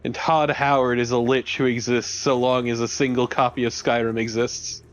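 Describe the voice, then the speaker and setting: A man's voice: medium pitch, level tone, moderate pace, faint music behind. A man co-commentating a video game in casual, crude, teasing banter. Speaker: let's play co-commentator. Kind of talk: casual banter over gameplay.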